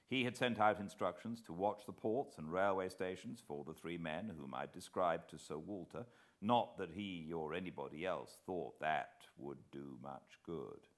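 British guy reading a classic story out loud, low voice, really animated. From Britain. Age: middle-aged. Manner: measured, deliberate, expressive. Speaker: audiobook narrator. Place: living room armchair beside a fireplace.

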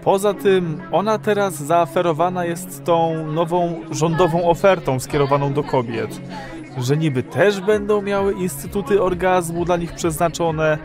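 A man's voice: on the high side, a little slow, music in the background, natural voice. An actor playing a timid, embarrassed married man in an audio drama, speaking hesitantly and evasively.

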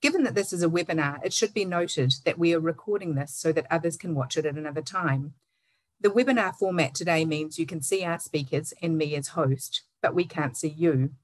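A middle-aged woman with a New Zealand accent hosting a webinar over a video call, reading a formal, measured introduction. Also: low-pitched, dry recording, poor audio. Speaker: webinar host.

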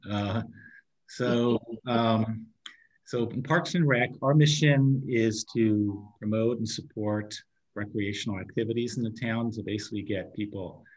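A man's voice: fairly low pitch, a little slow, rough recording. A man in his sixties giving an informal committee report on a video call, often breaking off and rephrasing as he goes. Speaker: parks and recreation committee member reporting to a town council. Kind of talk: informal spoken report at an online meeting.

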